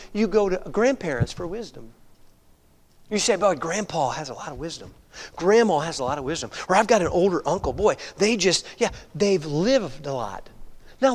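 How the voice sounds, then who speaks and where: A man in his fifties delivers a sermon in a high, light voice. He talks it through conversationally, peppering it with rhetorical questions and tag checks. The sound trails off in the long reverb of a large hall. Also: very animated, normal speed. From United States. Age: 50s. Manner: conversational preaching with rhetorical questions and frequent "all right?". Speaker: pastor.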